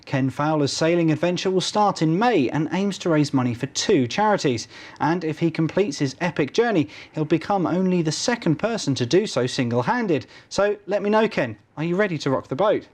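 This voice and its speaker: British male presenter, fairly high voice, regional TV interview delivery. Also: expressive, normal speed, hardly any pauses. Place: television studio.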